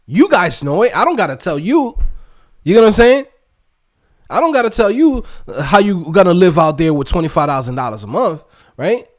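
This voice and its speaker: A man's voice: sits high, very animated, moderate pace. A man from the Dominican Republic giving a casual motivational rant as an online host and coach, emphatic and streetwise, with lots of slang and tag questions. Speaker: YouTube host and developer coach.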